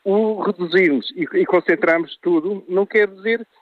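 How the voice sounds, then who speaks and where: A man's voice: high voice, moderate pace, no echo. A man calling into a radio phone-in, arguing his point and pushing his voice up for emphasis. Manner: argumentative, pushes his voice up for emphasis. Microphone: telephone. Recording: dry tinny phone line.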